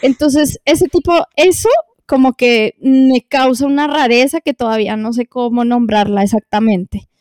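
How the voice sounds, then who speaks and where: Hesitant woman with a mellow, dry voice. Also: a little high, steady tone, normal speed, dry recording. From lived in Colombia. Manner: hesitant, searching for words, many fillers. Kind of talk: casual podcast conversation.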